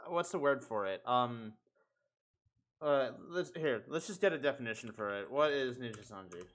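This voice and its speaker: Male livestream host, sharp bright tone, middle pitch, loose rambling sweary chat. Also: expressive, normal speed. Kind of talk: casual chat.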